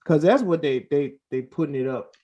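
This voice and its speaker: A man's voice: on the high side, lively intonation, moderate pace, noisy background. A man on a talk show panel, casually debating sports in a questioning, conversational way. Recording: slightly dull, lo-fi audio.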